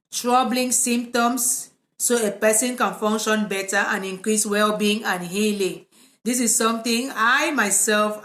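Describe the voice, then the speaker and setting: Cameroonian woman chatting in an echoey room. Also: mid-range voice. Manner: relaxed, informal, conversational. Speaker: psychotherapist hosting a live video. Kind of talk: informal live vlog, casual explaining to camera.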